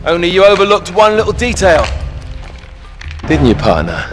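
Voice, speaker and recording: Casting: smug British rogue thief for a game, sardonic and teasing, very animated pitch, music underneath. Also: male voice, normal speed. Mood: smug, mocking. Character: cocky smooth-talking male thief, treacherous and mocking. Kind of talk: video game cutscene acting.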